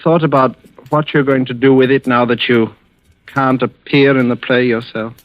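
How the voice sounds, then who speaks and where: A man's voice: mid-range voice, steady tone, normal speed, dry recording, close to an everyday voice. Guy acting slick scheming husband, talks down all sweetly, old time radio drama, muffled and dry. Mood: coaxing, scheming. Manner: softly coaxing, patronizing. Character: smooth, scheming husband with quiet control over his wife.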